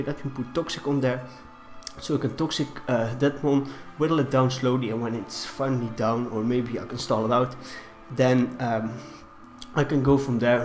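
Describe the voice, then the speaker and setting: Quiet man with a cold, talking softly. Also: medium pitch. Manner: deliberately quiet, subdued delivery; hesitant, many fillers and restarts. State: has a cold. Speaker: competitive game commentator. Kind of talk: casual game commentary. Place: room in a shared flat.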